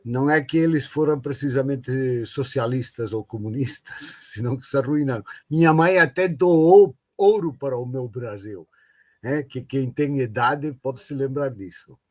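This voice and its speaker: A man speaking Portuguese, talking slowly and with emphasis as a guest professor on an online panel. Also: medium pitch, a little mellow. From Brazil. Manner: slow, deliberate, emphatic. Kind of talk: live online panel talk.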